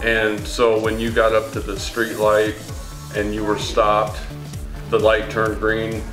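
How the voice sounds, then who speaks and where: A man's voice: fairly low pitch, completely flat delivery, slow pace. A middle-aged man with an American accent, casually telling a story as a guest on a family vlog. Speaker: vlogger's father, guest. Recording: roomy echo, faint music behind.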